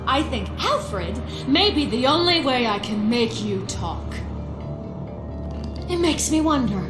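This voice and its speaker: A woman's voice: on the high side, big pitch swings, slow. A woman voicing a vengeful masked villainess in a video game, taunting and menacing, in an echoing hall with music underneath. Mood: suspicious, mocking.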